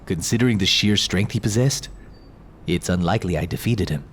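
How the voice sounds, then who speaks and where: An actor playing a haunted young mercenary in a video game, earnest, intense and halting. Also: male voice, sits low, a bit bright. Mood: subdued brooding. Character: brooding young male mercenary, haunted and wounded. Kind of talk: dramatic video game acting. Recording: music behind the voice.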